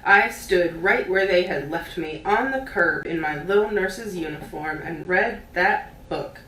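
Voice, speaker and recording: A woman giving an expressive read-aloud of a literary essay. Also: lowish voice, measured pace. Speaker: reader. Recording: roomy, distant mic, faint noise.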